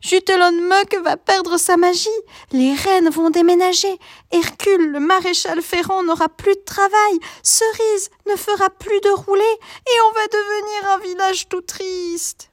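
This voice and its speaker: A woman's voice: extremely high pitch, steady tone, unhurried, dry recording, studio quality, voice pushed up. A woman voicing a melodramatic, tearful little girl in a children's story. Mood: despairing. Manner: wailing, melodramatic.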